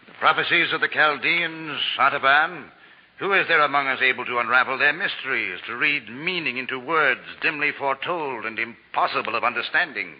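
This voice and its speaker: An actor playing a skeptical, dismissive Persian priest of the Magi in a declamatory 1940s radio drama style. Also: male voice, medium pitch, fairly quick, close to an everyday voice. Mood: doubting, dismissive. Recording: muffled old radio recording, cavernous reverb.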